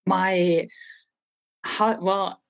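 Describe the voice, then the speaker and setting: A woman's voice: on the low side, little pitch movement, extremely slow, creaky, dull audio. A woman in her forties from Estonia with an Estonian accent, a podcast guest who answers earnestly and thoughtfully, often restarting her sentences. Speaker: guest, real estate trainer. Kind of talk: podcast interview conversation.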